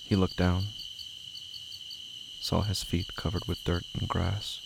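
A man narrating scripted horror slowly, in a hushed, deliberate, ominous manner. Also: low voice, level tone, vocal fry, background noise. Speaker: horror fiction podcast narrator.

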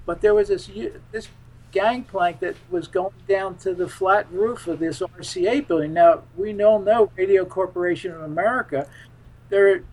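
Guy guest on a talk show, pretty high voice for a man, rambly stop-start storytelling. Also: darkish tone, rough recording. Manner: halting, rambling, repeats phrases. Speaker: interview guest. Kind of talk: conversational interview, recounting anecdotes.

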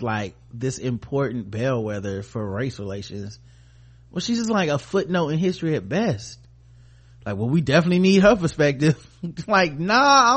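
An American man hosting a podcast, giving casual, comedic news commentary in an irreverent, sarcastic, sweary way. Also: medium pitch. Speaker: podcast host.

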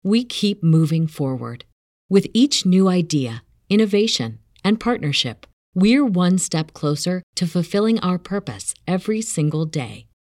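A woman's voice: on the low side, sing-song, measured pace, darkish tone, dry recording, studio quality. A woman reading a scripted corporate advert narration.

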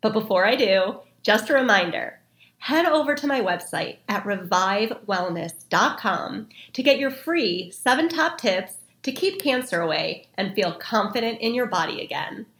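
Woman, podcast host, upbeat, friendly, chatty, casual fillers, mid-pitched voice. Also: animated, normal speed, a bit bright. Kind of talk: casual interview chat.

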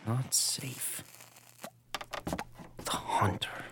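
A man's voice: extremely slow, whispered. An actor playing a shaken, earnest young man in a radio drama, anxious and intense. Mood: shaken, fearful.

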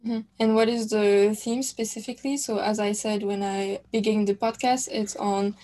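Mellow-voiced woman podcast host. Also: medium pitch, fairly even intonation, normal speed. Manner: polite, prompting questions. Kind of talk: podcast interview.

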